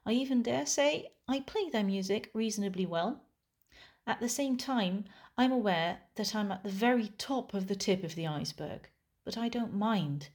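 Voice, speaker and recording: A woman whose first language is Dutch, a musician giving a calm, thoughtful scripted monologue. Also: mid-range voice, moderate pace.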